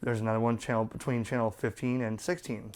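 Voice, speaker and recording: Clear-voiced man demonstrating gear. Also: mid-range voice, level tone, quick pace. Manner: blunt, colloquial, talking step by step. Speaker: radio product reviewer and presenter. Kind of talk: casual unscripted hands-on demonstration and review.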